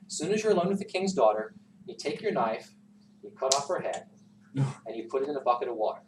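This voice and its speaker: A man voicing a calm, knowing, gently teasing holy teacher in a folktale, casually giving instructions. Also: medium pitch, quick pace, close to an everyday voice. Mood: instructing. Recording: roomy, distant classroom recording with noise.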